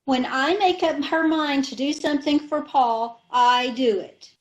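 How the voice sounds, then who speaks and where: A woman's voice: sits high, unhurried, muffled recording. A woman playing a scatterbrained wife who mangles words, in old-time radio comedy style. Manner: ditzy malapropisms.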